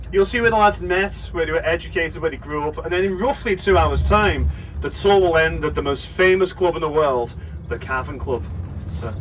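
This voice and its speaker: A man with a Liverpool accent leads a guided bus tour, talking in a chatty, jokey way and stirring up the crowd. His voice sits fairly high for a man. He sounds set back from the mic, with heavy bus noise underneath. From Liverpool, England. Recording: distant voice with loud bus noise.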